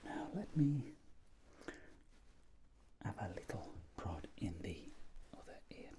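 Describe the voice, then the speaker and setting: A man speaking in a soft, breathy whisper, gently playing a polite, reassuring ear examiner in an ASMR roleplay. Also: on the low side, very animated, slow.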